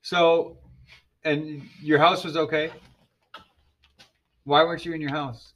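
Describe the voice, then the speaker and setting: A man's voice: fairly high pitch, little pitch movement, slow, a bit bright. A man hosting a podcast with his ESL class, joking and teasing students in casual chat.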